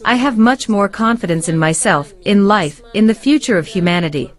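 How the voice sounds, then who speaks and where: A woman with a mid-pitched voice answers interview questions thoughtfully. She stacks up repeated phrases for emphasis and speaks in a reflective, earnest manner. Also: lively intonation, normal speed. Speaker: translator.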